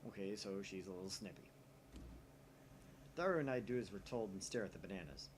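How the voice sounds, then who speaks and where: A man's voice: low voice, a little fast, slight background noise. A man reading first-person visual novel narration aloud as a storyteller. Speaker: gaming channel reader and narrator.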